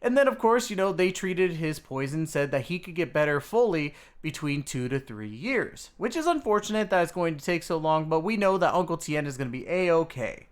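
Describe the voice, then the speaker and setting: A man's voice: on the high side, sing-song, normal speed, few pauses, clear, resonant tone. A man hosting a video, giving a casual, informal game story recap straight to his viewers. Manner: informal, addresses viewers as dudes and guys. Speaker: video host.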